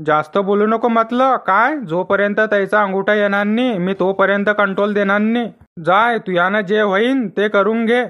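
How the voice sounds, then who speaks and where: A man's voice: high voice, steady tone, moderate pace, a bit bright. A dismissive shop official from a comedy cartoon, voiced by a man. He is stubborn and curt. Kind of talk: comic cartoon dialogue.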